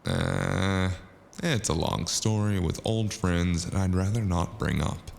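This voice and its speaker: An actor playing a cynical, deadpan mercenary in a noir audio drama, with a deep gravelly voice. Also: male voice, slow pace, a little hiss or hum, full character voice. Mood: exasperated. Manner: sardonic, deadpan. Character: cynical, sarcastic mercenary man narrating his own noir tale.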